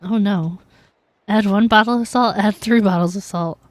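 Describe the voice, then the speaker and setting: A woman live-streaming a game with casual, giggly commentary, reacting out loud as she plays. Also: mid-range voice, normal speed, dry recording.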